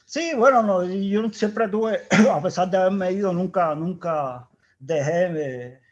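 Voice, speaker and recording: A man's voice: sits high, slow pace. A man with a Cuban accent, an engineer guest in an interview, recalling the past in a reflective, rambling way with restarts. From Cuba. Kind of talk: casual storytelling interview. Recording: dull lo-fi sound.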